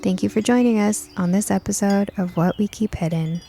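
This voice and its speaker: A woman's voice: medium pitch, a little fast, creaky, dark tone. A woman reading scripted first-person fiction as its author and narrator.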